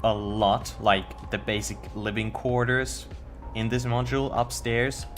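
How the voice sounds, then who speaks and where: A man's voice: mid-range voice, flat delivery, a little slow, fairly bright tone, soft background music. A young man from Finland with a Finnish accent, chatting casually on a game live stream and stumbling over his words. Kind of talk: casual live-stream chat while building.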